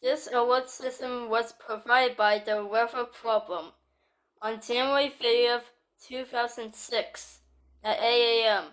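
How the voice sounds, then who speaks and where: A woman reading a scripted emergency alert announcement in a stilted, deliberate manner. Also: on the high side, fairly even intonation, fairly bright tone, low-quality recording.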